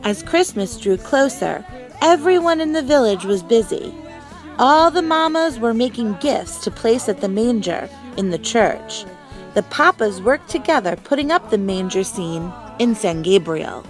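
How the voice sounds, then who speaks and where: A woman reading a children's storybook aloud as a teacher, with expressive, deliberate phrasing. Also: on the high side, over music.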